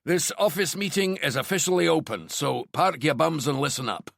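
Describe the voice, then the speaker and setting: A man's voice: a little high, lively intonation, moderate pace, vocal fry, fairly bright tone, no room sound, clean studio sound, voice pushed up, full character voice. A man voicing a blunt, sarcastic male detective in an audiobook, with a Glaswegian accent tinged with London. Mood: booming, brisk.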